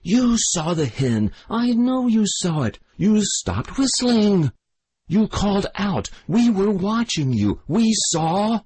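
A man's voice: sits high, very animated, slow pace, darkish tone, slightly rough, pitched above the performer's own voice, full character voice. A man voicing a furious country widow who screams accusations at her son. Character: shrill furious Irish country widow, mother.

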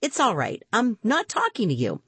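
A woman's voice: a little high, huge pitch swings, normal speed, breathy edge, no echo, voice pushed up, full character voice. A woman voicing an anxious, exasperated young boy with an urgent, emphatic delivery in a children's audiobook. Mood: frantic, exasperated. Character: anxious, exasperated young boy telling his magical mishap.

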